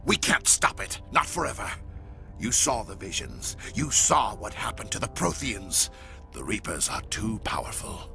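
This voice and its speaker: A deep, gravelly cybernetic villain. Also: male voice, very animated, unhurried, over music, full character voice. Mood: fearful, insistent. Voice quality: gravelly, menacing. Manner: grandiose, dramatic delivery. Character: indoctrinated cybernetic villain, proud yet tormented, grave. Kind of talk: video game cutscene acting.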